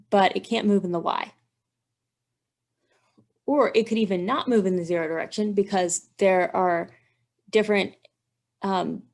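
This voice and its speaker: A woman's voice: medium pitch, a little mellow. A woman engineering instructor giving a methodical, step-by-step walkthrough, thinking aloud as she works through math.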